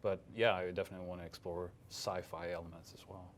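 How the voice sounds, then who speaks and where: A man's voice: deep voice, level tone, fast talker, a little hiss or hum. A man from Sweden with a light Swedish accent, giving hesitant interview answers full of fillers and restarts. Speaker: film director.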